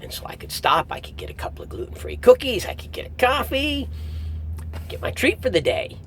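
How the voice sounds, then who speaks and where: A middle-aged man giving a casual spoken review in a conversational, emphatic way, pausing for effect. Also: huge pitch swings. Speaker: audiobook reviewer. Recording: faint low rumble, like vehicle noise.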